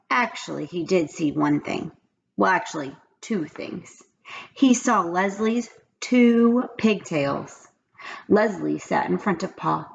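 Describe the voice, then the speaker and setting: A woman reads a children's story with very animated intonation. Her voice has a creaky edge, and the recording is slightly muffled, with noise behind it. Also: medium pitch. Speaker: storybook reader. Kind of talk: expressive children's read-aloud narration.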